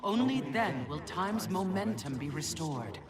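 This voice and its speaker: An actor playing an ancient, authoritative god in a video game, giving counsel in a grave, formal way. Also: male voice, high voice, lively intonation, measured pace, a crowd in the background. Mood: grave, commanding. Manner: formal, portentous. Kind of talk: video game cutscene acting. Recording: loud music behind.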